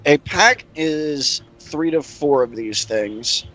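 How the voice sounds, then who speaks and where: A man's voice: on the high side, animated, takes it slowly, a crowd in the background. A man taking part in a casual tabletop roleplaying game, speaking hesitantly and often repeating his words. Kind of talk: casual group roleplaying chat.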